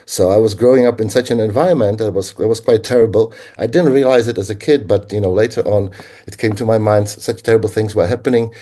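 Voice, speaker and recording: Low, mellow Czech-accented man. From Czech Republic. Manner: reflective, halting, with frequent pauses. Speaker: guest linguist. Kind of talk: conversational interview answers.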